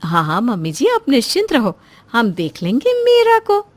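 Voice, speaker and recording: A woman's voice: a little high, huge pitch swings, normal speed, no room sound, voice pushed up. A woman performs a crafty young sister-in-law in a storybook drama. The voice is raised and syrupy, coaxing with false sweetness. It is recorded dry and close. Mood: reassuring. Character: sly, sugary young sister-in-law manipulating the innocent. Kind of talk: moral story voice acting.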